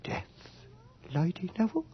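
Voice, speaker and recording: An actor in a radio drama playing a nervous, deferential working-class hairdresser who speaks in folksy, ungrammatical phrases. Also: male voice, normal speed, close to an everyday voice. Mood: subdued, stunned. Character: anxious, servile working-class hairdresser, proud of his trade. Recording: dull, noisy 1980s radio drama recording.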